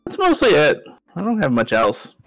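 A man's voice: fairly high pitch, wildly swooping pitch, moderate pace, a bit bright, dry recording. A man chatting casually as a tabletop player, dropping offhand, dry jokes. Faint music plays behind his voice. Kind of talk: casual chat on a game stream.

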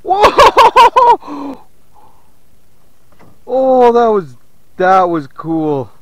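A man vlogging from a small boat on a lake, chatting casually to viewers and getting excited as he fishes. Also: extremely high pitch, drawn-out words, dry recording. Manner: excitable, talks to viewers as "you guys". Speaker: fishing vlogger. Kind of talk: casual on-water fishing commentary.

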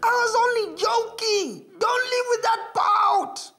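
A woman voicing Mongolo, a bossy, demanding young boy monkey, with a shrill, whiny voice pitched far above her own. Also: a little slow, bright, forceful tone, shouting, exaggerated voice. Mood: pleading.

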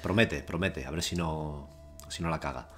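A man's voice: a bass voice, normal speed, a bit bright, faint music behind. A man co-hosting a gameplay video, mostly agreeing in short replies and teasing. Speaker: co-host. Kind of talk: casual chat.

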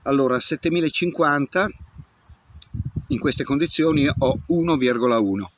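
A man's voice: on the high side, moderate pace, a little hiss or hum. A man in his fifties, an amateur radio operator, giving a casual hands-on demonstration and muttering step by step as he works outdoors. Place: open grassy field outdoors. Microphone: camera at about arm's length.

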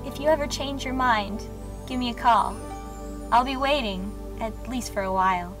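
Woman acting a high-voiced lovestruck young woman. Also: moderate pace, over music, natural voice. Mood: tender, wistful. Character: sweet, wistful, lovestruck young woman on a date. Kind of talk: live-action game cutscene acting.

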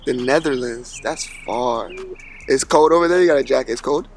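A man's voice: mid-range voice, normal speed. A young American man in his twenties, casually chatting and joking with strangers on a video call app. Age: 20s. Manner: playful, teasing, laughs often.

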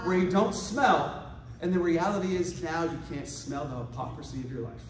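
A man preaching a lively sermon, swinging from quiet asides to shouted exhortation and acting out quoted voices. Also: a little high, moderate pace, slight background noise. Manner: acts out quoted voices for laughs. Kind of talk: lively sermon with congregation responses. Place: large church hall. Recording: echoey hall, far from mic, congregation. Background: congregation responding.

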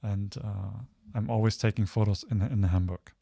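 A man's voice: fairly low pitch, steady tone, moderate pace, creaky, warm tone, no echo, clean studio sound. A man in his thirties from Germany giving an informal online slide presentation, hesitant and often searching for words. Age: 30s. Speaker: guest speaker, photographer.

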